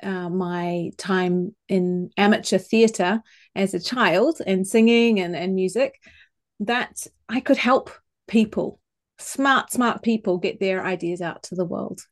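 A woman from New Zealand with a New Zealand accent, a communications coach talking thoughtfully as a podcast guest. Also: mid-range voice. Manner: thoughtful, measured, with small restarts. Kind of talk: conversational interview.

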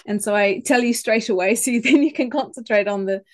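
A woman from New Zealand with a New Zealand accent, a communications coach talking thoughtfully as a podcast guest. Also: on the high side. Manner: thoughtful, measured, with small restarts. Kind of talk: conversational interview.

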